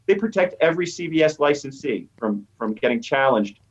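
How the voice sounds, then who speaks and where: A man's voice: fairly high pitch, big pitch swings, fairly quick, breathy edge. A middle-aged or older American man, a professor guest explaining things conversationally and emphatically in an interview. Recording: dull, slightly lo-fi voice track.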